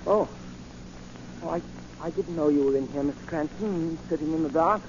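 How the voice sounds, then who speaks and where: An actor playing a proper, deferential, anxious butler in a 1940s radio drama. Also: male voice, on the high side, moderate pace, lightly acted. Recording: noisy, muffled 1940 radio recording.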